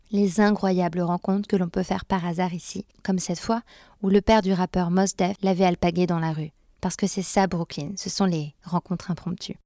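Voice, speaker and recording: A woman's voice: medium pitch, warm tone, dry recording, clean studio sound. A woman interviewer whose first language is French, narrating and answering with brief agreeing replies.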